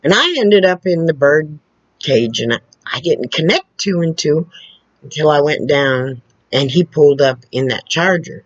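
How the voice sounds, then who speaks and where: Slow, lively-voiced woman telling stories. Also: low voice, dry recording. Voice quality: loud, carrying voice. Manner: slow, halting, with restarts and asides. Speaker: storyteller. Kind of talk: casual personal storytelling. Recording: dull lo-fi recording.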